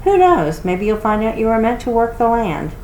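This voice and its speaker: A woman's voice: on the high side, sing-song, moderate pace, a little mellow, pitched above the performer's own voice. A woman voicing a boy's caring mother in a storybook, coaxing and soothing. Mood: gently coaxing. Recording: roomy echo with background noise.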